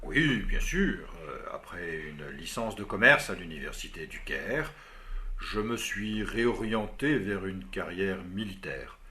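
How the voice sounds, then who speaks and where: Guy doing a calm army officer at a job interview, lowish voice, formal and measured. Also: full character voice. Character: composed male army officer applying for a job. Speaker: job candidate in a scripted interview. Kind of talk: scripted dialogue for learners.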